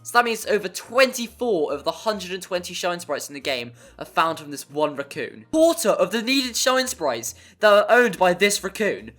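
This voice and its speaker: A man's voice: high voice, big pitch swings, moderate pace, few pauses, a bit bright. Male video essay narrator, wry and joking, prone to exaggeration, with music underneath. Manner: wry, jokey, given to exaggeration. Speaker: narrator of a video game review. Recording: voice-over with music behind.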